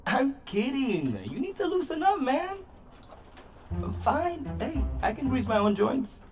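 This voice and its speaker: A cheeky, easygoing robot squad mate in a video game cutscene. The voice swoops widely in pitch and talks in a casual, teasing way. Music plays clearly behind it. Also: female voice, big pitch swings, takes it slowly, exaggerated voice.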